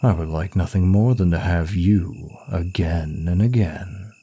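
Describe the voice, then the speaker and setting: A very low-voiced, suave demon of lust, acted by a man for an ASMR roleplay. He teases smugly and draws out his words at a slow, deliberate pace, every line seductive. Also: warm tone, breathy edge, full character voice. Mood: amused, smug.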